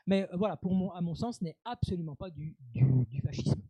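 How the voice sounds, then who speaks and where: A man with a fairly high yet deeply dark, mellow voice, speaking as a guest author on radio. He halts often, filling gaps and repeating words as he thinks. The sound is dry and close. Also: brisk, slightly rough, no echo. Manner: hesitant, many fillers and repeated words. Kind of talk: radio interview answer.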